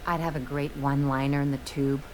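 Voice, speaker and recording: An actress playing a brash, bitter young adventurer in a video game, with a sardonic, theatrical way of telling stories. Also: female voice, rumbling low, level tone, fairly quick, a little hiss or hum. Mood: wry, dramatic. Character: brash young adventurer woman, bitter, dramatic, estranged from parents.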